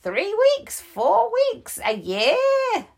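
A woman's voice: very high-pitched, wildly swooping pitch, very slow, creaky, a little mellow, pitched above the performer's own voice, heavily acted. A woman doing a boastful old bear prisoner. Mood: stubborn, boastful. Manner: bluffing, insists he is never scared.

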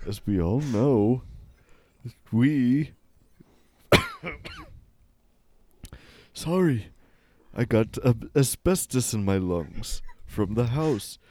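Deadpan male comic, slow unhurried delivery, dry close sound. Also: mid-range voice, no echo. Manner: deadpan, mock-serious. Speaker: comedy musician guest. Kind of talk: absurd comedic banter.

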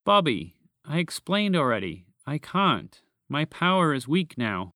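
A man voicing a weary, bearded magical gentleman who explains himself patiently. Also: fairly high pitch, sing-song, measured pace, no echo, studio quality, exaggerated voice.

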